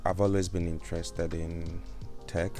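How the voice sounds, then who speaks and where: A man's voice: deep voice, flat delivery, a little slow, dark tone, faint music behind. A Nigerian man in his thirties, a guest chatting casually in an interview, hesitant with many fillers and restarts. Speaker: guest, cloud engineer.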